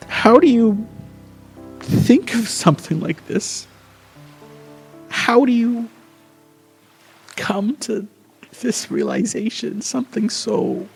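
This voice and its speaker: A man acts out a dying young soul in an amateur radio play, fearful and wistful. The voice is fairly high and creaky, halting over broken phrases, with music behind it. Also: heavily acted. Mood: anguished, afraid. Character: terminally ill young person, fearful, wistful, hesitant. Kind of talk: amateur radio theatre acting.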